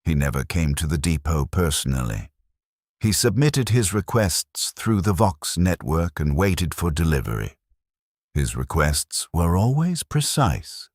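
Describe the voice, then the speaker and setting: A man narrating a scripted first-person war story as a stoic, weary military clerk, in a somber, measured way. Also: low-pitched, very animated, no echo, studio quality. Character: weary, stoic military supply clerk recounting wartime losses.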